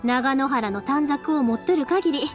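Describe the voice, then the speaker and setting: A woman playing a cheerful, caring young firework maker in an anime-style game, with brisk, familiar Kansai dialect. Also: high-pitched, music in the background. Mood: reassuring.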